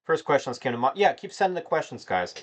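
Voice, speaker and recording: A man's voice: on the high side, big pitch swings, fast talker. Rambling American man chatting on stream. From United States (North Carolina). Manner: rambling, filler-heavy, addresses chat as "man". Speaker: livestream host answering chat. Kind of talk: casual livestream chat.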